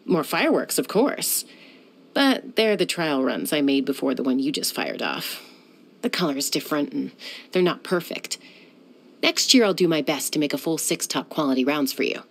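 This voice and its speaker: An actress voicing a young warrior woman who is a peacemaker, speaking in a calm, measured way with a dry, teasing edge. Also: low-pitched. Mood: friendly. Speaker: game character. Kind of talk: game voice lines.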